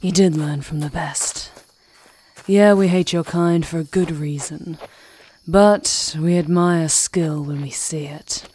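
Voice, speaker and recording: A woman's voice: deep voice, steady tone, slow pace, darkish tone, slight background noise. A woman playing a cocky, teasing pack leader in an audio roleplay, with a brash, casual manner. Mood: amused, approving.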